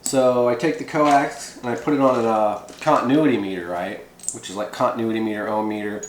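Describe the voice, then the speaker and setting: A man's voice: mid-range voice, brisk, slight background noise. A man hosting a hands-on how-to video in casual, folksy, rambling talk. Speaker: host of a hobby how-to video. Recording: echoey room.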